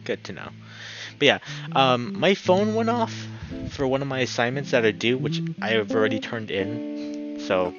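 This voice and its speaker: A man's voice: mid-range voice, expressive, moderate pace. A man giving chatty, exclamatory commentary as he plays through a visual novel. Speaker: streamer playing a visual novel. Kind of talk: gameplay commentary and reading dialogue aloud. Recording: dull, lo-fi mic with music behind. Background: guitar game music.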